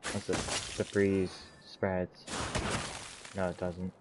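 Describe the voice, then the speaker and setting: A man's voice: sits low, flat delivery, slow pace. A man giving casual gameplay commentary, thinking aloud in short muttered fragments. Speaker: video game streamer. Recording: clearly noisy background.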